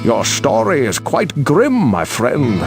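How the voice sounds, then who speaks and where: Solemn old sage, swooping pitch. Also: male voice, huge pitch swings, slow pace, full character voice. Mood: grave warning. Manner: theatrical, solemn, portentous. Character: grave elderly male sage and storyteller, urgent, warning. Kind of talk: dramatic fantasy game voice acting. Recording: music under the voice. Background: loud guitar music.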